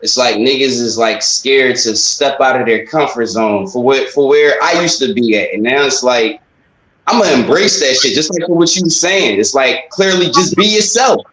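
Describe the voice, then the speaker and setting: Male interview guest, thirties. Medium pitch, plain-spoken and slangy, recorded with noticeable room echo. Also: expressive, moderate pace, a bit bright. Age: 30s. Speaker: interview guest, music artist. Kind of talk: casual chat. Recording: echoey room sound.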